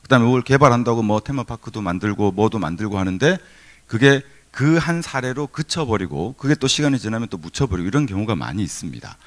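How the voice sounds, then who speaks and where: A man's voice: lowish voice, animated, fairly quick. A middle-aged man from Korea's Gyeongsang region giving a keynote lecture at a seminar, conversational, with many fillers and restarts. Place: conference hall.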